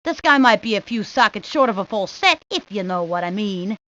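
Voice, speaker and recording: A woman's voice: medium pitch, animated, a little fast, a bit bright, close to an everyday voice. A woman voicing a cocky, streetwise neighborhood boy, talking in brash slang for a cartoon video game. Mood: grumbling, cocky. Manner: brash, slangy wise-guy talk. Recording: dull lo-fi 1990s game audio.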